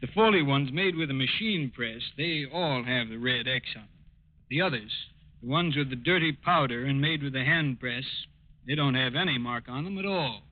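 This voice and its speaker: An actor playing a grave, methodical lab examiner in a 1940s radio drama, explaining in a deliberate way. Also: male voice, mid-range voice, animated, no echo, close to an everyday voice. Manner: deliberate, expository. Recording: tinny old-time radio recording.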